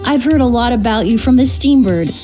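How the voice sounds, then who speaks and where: A woman's voice: a little high, animated, brisk, natural voice. A woman playing a composed, polite young perfumer. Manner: poised, polite and explanatory. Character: young female perfumer, calm and courteous. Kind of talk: game voice acting. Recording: music behind voice.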